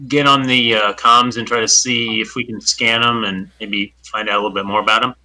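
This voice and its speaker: A man's voice: fairly low pitch, flat delivery, a little fast, pushed and bright, rough recording. A man playing in a tabletop game, asking the game master careful, methodical questions. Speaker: player. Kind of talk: casual tabletop play.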